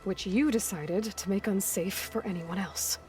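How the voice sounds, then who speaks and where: A woman's voice: medium pitch, a little slow, a little mellow, faint music behind, lightly acted. An actress playing a guarded, skeptical young warrior woman in a video game, blunt and questioning in her delivery. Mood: accusing. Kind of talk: naturalistic video game cutscene acting.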